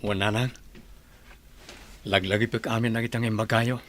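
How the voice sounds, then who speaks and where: A man's voice: lowish voice, fairly even intonation, normal speed, fairly bright tone, slight background noise, lightly acted. A man with an Ilocano accent playing a respectful, humble businessman in a radio drama. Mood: respectful, earnest.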